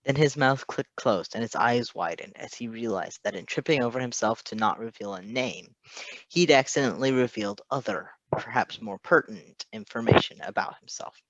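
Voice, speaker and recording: A man reading a story aloud in an expressive scripted narration style. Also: medium pitch, moderate pace, no echo, dull audio. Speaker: podfic reader.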